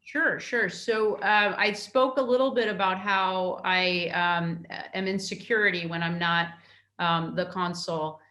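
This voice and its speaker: A middle-aged woman from New York, a consul answering interview questions in a halting way, with many fillers and restarts. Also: fairly low pitch, steady tone, bright, forceful tone, echoey room. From New York, United States.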